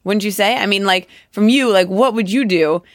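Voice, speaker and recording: A woman's voice: mid-range voice, normal speed, dry recording, clean studio sound. A woman interviewing a stranger on a city street, asking wry, probing questions. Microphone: handheld mic.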